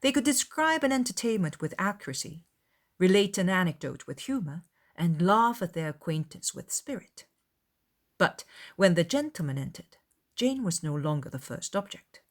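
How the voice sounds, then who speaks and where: Low-pitched woman narrating a classic novel. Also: big pitch swings, normal speed. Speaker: audiobook narrator. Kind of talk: scripted literary narration.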